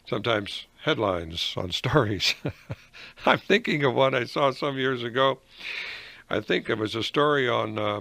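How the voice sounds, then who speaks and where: Wry American professor with a gravelly edge. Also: male voice, fairly low pitch, a bit bright, dry recording. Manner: folksy, wry, chuckling asides, deliberate phrasing. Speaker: professor and host of a radio commentary. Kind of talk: conversational radio essay with humorous examples.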